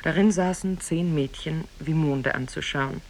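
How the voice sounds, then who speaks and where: An actress as the narrator of an Arabian fairy tale, grave and measured in 1950s radio storytelling style. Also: female voice, low-pitched. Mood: measured, solemn. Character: solemn female teller of an Arabian Nights tale. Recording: 1950s radio recording from cassette.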